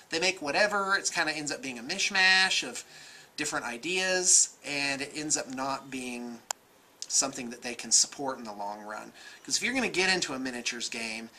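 An American man in his forties giving casual, off-the-cuff opinions in an animated, conversational way. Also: fairly high pitch. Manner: animated, gesturing, conversational, self-qualifying. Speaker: vlogger, miniatures shop owner. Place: indoor room with bookshelves.

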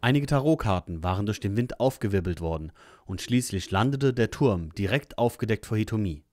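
Low-pitched male audio drama narrator. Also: expressive, brisk, a little mellow, dry recording.

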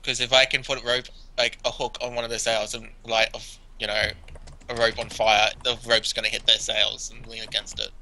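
A man in a tabletop game over a video call, eagerly pitching his plan. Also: flat delivery, normal speed, gravelly, sharp tone, poor audio. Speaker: player.

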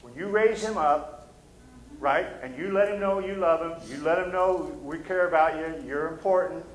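A man's voice: fairly high pitch, moderate pace, slight background noise. A middle-aged man with a Midwestern American accent, preaching a casual, conversational sermon from a pulpit and asking the room for agreement. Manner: casual, plain-spoken, asks the room for agreement. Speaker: pastor. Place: church stage, behind a pulpit. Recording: echoey church sanctuary.

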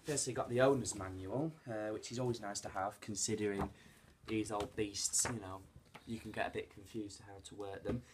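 Low-voiced young British man, noisy recording. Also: steady tone, normal speed. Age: young adult. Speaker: host of an unboxing vlog. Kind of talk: casual unscripted chat to camera. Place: carpeted living room, indoors. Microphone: camera a short distance away. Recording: noisy home camera sound with clicks.

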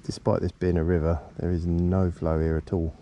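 A man speaks in a low, mellow voice, slightly affected by a cold. He chats in a relaxed, half-to-himself way as an angler filming his outing, with faint outdoor ambience behind him. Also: normal speed, a little hiss or hum. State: has a bit of a cold. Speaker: angler filming a fishing vlog. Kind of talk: casual chat while fishing. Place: outdoors on a riverbank. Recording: outdoor action camera with background ambience.

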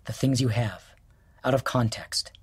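An actor playing an exasperated, sarcastic husband in an audio drama, hurt and profane in a late-night argument. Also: male voice, medium pitch, steady tone, a little slow, slightly rough. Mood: quiet, probing. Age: 40s.

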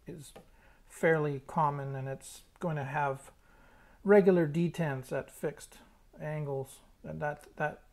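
A man's voice: fairly high pitch, darkish tone. A man giving a casual, hands-on demonstration as a hobbyist, thinking aloud a little hesitantly. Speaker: hobbyist restorer presenting a tutorial.

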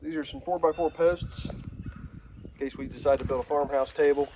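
A man's voice: fairly high pitch, steady tone, fairly quick. A man in his thirties, talking plainly and matter-of-factly outdoors on a rural property while explaining his work. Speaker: homesteading vlogger. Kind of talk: casual outdoor vlog. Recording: outdoor noise audible behind voice.

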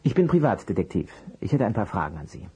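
Private detective for radio play: low, very husky, swooping intonation, courteous but persistent questioner. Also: male voice, sing-song, fast talker, mellow, muffled recording, heavily acted. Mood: polite, placating. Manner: courteous, probing, hesitant at times. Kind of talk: German audio drama acting.

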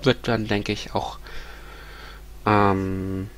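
A man's voice: on the low side, little pitch movement, very slow, a bit bright, slight background noise. Man with a stuffy cold lecturing. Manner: hesitant, many ums and restarts. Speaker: university lecturer. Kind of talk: recorded lecture with slides. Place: small room at home.

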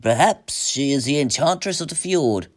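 Loyal Norse companion, done by a male storyteller: fearful, urgent, loud, pitched sharply upward, storybook style. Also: animated, moderate pace. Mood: alarmed. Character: fearful, loyal Viking warriors warning their leader.

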